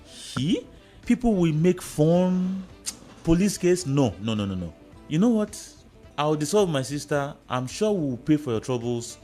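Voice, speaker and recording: A blustering middle-aged Nigerian uncle, played by a male actor in a radio drama. He is emphatic and repeats himself, his pitch swinging widely over faint music. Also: very animated, unhurried, dark tone. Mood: alarmed. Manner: agitated, repetitive, emphatic. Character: blustering uncle fearful of family shame.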